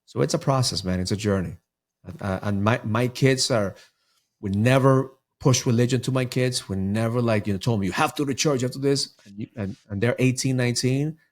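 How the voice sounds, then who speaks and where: A man's voice: medium pitch, fairly quick. A middle-aged man from Ecuador, a mindset coach talking earnestly as a podcast guest, often repeating words as he restarts. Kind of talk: podcast interview.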